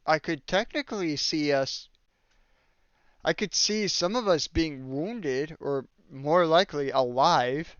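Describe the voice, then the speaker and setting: A man with a fairly high, smooth voice leads a casual, joking group chat. The recording is dry and slightly muffled. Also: slow pace, a bit bright, no room sound. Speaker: host of a group tier-list discussion.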